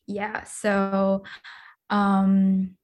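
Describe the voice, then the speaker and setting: A woman's voice: mid-range voice, monotone, extremely slow, darkish tone. A young woman chatting casually and cheerfully as a guest in an interview. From grew up in Singapore and New York. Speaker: podcast guest, product designer and former ballerina.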